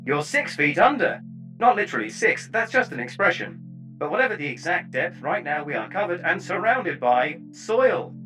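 An eager-to-please computer in an audio comedy. He is smugly polite and solicitous, with very animated intonation. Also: a little high, moderate pace, soft background music, rough recording. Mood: smug, helpful. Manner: solicitous, salesman-like, smug. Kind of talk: audio comedy drama acting.

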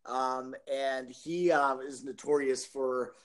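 A man's voice: mid-range voice, little pitch movement, slow. A man chatting casually as a podcast panelist, explaining things in an informal way. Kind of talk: casual chat.

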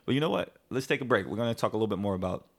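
An American man from the New Jersey area, hosting a casual relationship podcast with his wife and laughing often. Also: fairly low pitch. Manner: animated, laughs often, quick asides to the audience. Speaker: podcast host.

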